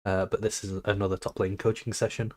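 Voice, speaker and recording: A man's voice: fairly low pitch, little pitch movement, quick pace, darkish tone. A British man coaching over a call, a little sick and slightly nasal, explaining his plan step by step.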